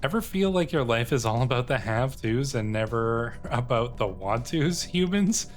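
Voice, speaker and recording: Guy doing upbeat alien salesman from a space game, pitchy salesy talk, music behind. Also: expressive, moderate pace, close to an everyday voice. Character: chirpy alien trader delivering a breezy sales pitch.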